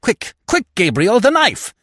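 A man's voice: a little high, very animated, moderate pace, dry recording, studio quality. Man playing a wildly melodramatic vengeful widow. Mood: urgent, vicious. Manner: theatrical, swinging between hissing threat and agitated outcry. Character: vengeful, half-demented middle-aged French widow, melodramatic and menacing.

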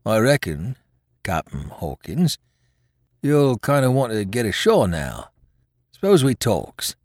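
A man voicing a wounded, sly, rough old pirate seaman with rustic, ungrammatical sailor talk in a storybook. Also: lowish voice, huge pitch swings, slow, a little mellow, no room sound, clean studio sound, exaggerated voice. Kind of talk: storybook character voice in audiobook.

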